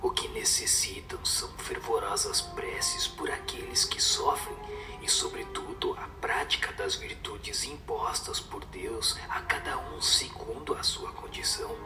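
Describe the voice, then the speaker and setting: A man voicing a stern superior spirit in a breathy whisper, giving solemn, severe moral counsel in an audio drama. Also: on the low side, unhurried, echoey room, over music, pitched below the performer's own voice, close to an everyday voice. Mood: solemn admonishing. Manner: solemn, admonishing. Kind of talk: Portuguese-language audio drama of a spiritist book.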